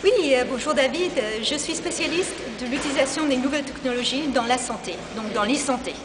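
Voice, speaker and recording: A woman's voice: sits high, brisk. A woman with a slight foreign accent, talking energetically about her field in an improvised interview, with frequent restarts. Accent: slight foreign accent in French. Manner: energetic, enthusiastic, with many restarts. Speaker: interviewee, e-health specialist. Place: busy convention hall. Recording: reverberant hall with loud ambient noise.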